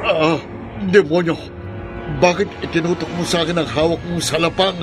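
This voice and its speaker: A man's voice: fairly high pitch, fairly even intonation, moderate pace, over music, natural voice. An actor playing a troubled middle-aged father in a horror radio drama, speaking in a grave, insistent way. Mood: frightened.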